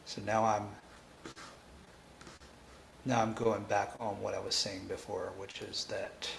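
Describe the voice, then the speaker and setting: Slow, low-voiced man thinking aloud. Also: flat delivery, gravelly, a little hiss or hum, low-quality recording. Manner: halting, repeats phrases while reasoning aloud. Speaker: programming tutor and livestream host. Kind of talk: thinking aloud during live coding tutorial.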